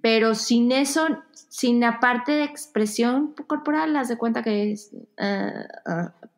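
A woman's voice: on the high side, takes it slowly. A woman in her thirties giving casual interview answers as a guest, searching for words and repeating phrases for emphasis. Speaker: podcast guest, yoga teacher. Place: home room with bare wall, indoors.

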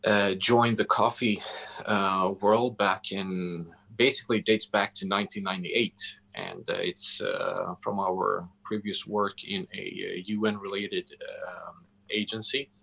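Low, creaky, halting Swedish man. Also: level tone, bright, forceful tone. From Sweden. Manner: halting, deliberate, searching for words. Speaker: interview guest, coffee roastery founder. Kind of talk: interview answers on a video call. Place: indoor room, on a video call. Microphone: video call microphone.

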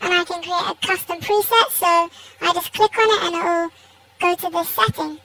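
A woman speaking through a voice-changer effect that pushes her voice very high, talking casually through a tutorial. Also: fairly even intonation, moderate pace, pushed and bright, full character voice. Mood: demonstrating. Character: squeaky sped-up chipmunk voice. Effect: voice changer raising pitch very high.

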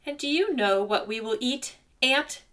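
Woman doing a big gruff lazy bear. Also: expressive, full character voice. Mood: gloating. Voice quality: deep gruff growl. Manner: loud and slow, roaring.

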